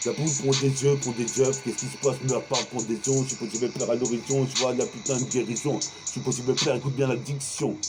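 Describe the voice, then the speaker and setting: A man's voice: medium pitch, fairly even intonation, quick pace, few pauses. A French man in his forties improvising a freestyle rap in a rhythmic, rhyming flow, half-singing some lines. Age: 40s. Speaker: freestyle rapper. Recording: rap over loud backing music.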